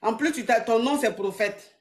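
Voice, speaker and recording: A woman's voice: fairly high pitch, normal speed, slight background noise. A woman, hosting a call-in advice stream and teasing callers with frequent laughter. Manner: mocking, laughs often, animated. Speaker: live-stream advice coach taking calls.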